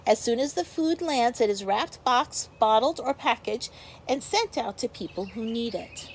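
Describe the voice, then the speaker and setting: A woman's voice: on the high side, expressive, moderate pace, a little hiss or hum. A woman teacher reads a picture book aloud to young children, expressively, with explanatory asides to her pupils.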